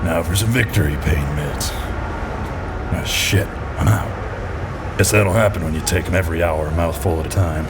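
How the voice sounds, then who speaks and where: Man playing a jaded hard-boiled detective, very low voice, deadpan narration, noir parody audio drama, music behind. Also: moderate pace. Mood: sardonic, weary. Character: jaded pill-popping hard-boiled male detective narrating his case. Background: background music.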